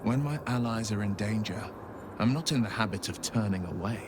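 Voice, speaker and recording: A man's voice: on the low side, brisk, lightly acted. A man playing a loyal, good-natured young monster hunter in a video game, earnest and given to folksy phrases. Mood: reassuring. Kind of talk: video game character acting. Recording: clear background ambience noise.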